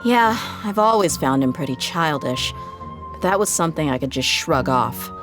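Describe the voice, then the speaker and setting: A low-pitched tough woman mercenary from a video game. She talks in a laid-back, matter-of-fact way with a world-weary edge. Music plays prominently behind her. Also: expressive, normal speed. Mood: bitter. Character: tough, laid-back female desert mercenary, loyal and candid. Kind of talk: video game character acting, conversational storytelling. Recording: studio game dialogue over loud music. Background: background game music.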